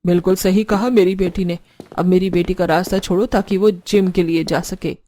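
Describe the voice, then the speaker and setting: Woman playing stern mother-in-law, middle-aged, dark mellow tone, bossy, scolding, cartoon drama, faint music. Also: on the low side, fairly even intonation, moderate pace, lightly acted. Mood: approving, firm. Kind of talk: Hindi cartoon family drama dialogue.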